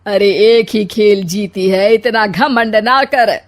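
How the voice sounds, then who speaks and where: A woman's voice: medium pitch, takes it slowly, natural voice. A woman voicing a jealous, bossy older mother-in-law in a comic cartoon story, complaining dramatically. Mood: indignant.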